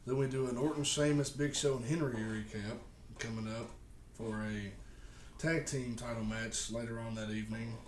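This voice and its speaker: A man's voice: mid-range voice, fairly even intonation, moderate pace. A man co-hosting a casual wrestling podcast, recapping in a wry, opinionated way. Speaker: podcast co-host. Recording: echoey room, distant mic, faint noise.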